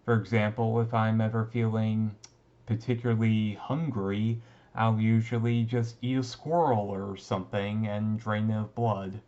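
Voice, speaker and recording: A man playing an easygoing, resigned teenage vampire classmate in a casual, confiding ASMR roleplay, talking haltingly. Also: fairly low pitch, level tone, a little mellow, muffled recording, natural voice. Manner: halting, conversational, mildly resigned. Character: brooding, easygoing male teenage vampire classmate, secretly thirty-seven.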